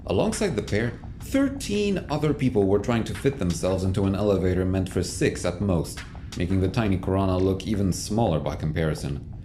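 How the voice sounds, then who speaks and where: A man's voice: deep voice, lively intonation, fairly quick, mellow, a little hiss or hum. A man narrating a scripted science fiction audio drama as its storyteller. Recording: music bed under the voice.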